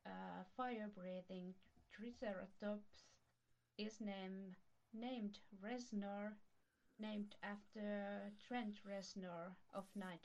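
A woman co-hosting a casual podcast in a measured, somewhat halting way. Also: mid-range voice, fairly even intonation, a little mellow, highs cut off. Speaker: podcast co-host. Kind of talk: casual chat and reading out fun facts.

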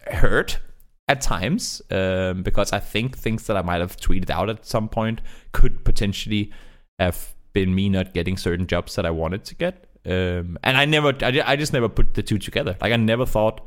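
A man who is not a native English speaker, talking casually and in a rambling, animated way as a guest caster in an interview. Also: fairly low pitch, normal speed. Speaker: esports caster being interviewed.

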